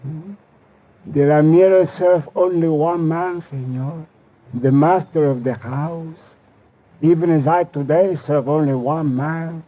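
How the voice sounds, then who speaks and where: An actor playing a brooding old castle servant in a 1940s radio drama, with a theatrical, ominous manner. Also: male voice, fairly high pitch, fairly even intonation. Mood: grim, ominous. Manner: theatrical, ominous, slow delivery. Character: brooding, cackling old Spanish castle servant, ghost-obsessed. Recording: muffled old radio recording, faint noise.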